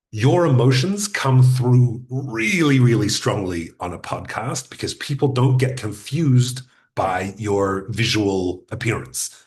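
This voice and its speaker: A man teaching a podcasting workshop in a conversational way, speaking deliberately, using pauses and sometimes restarting his sentences. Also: fairly low pitch. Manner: addresses audience directly with practical advice.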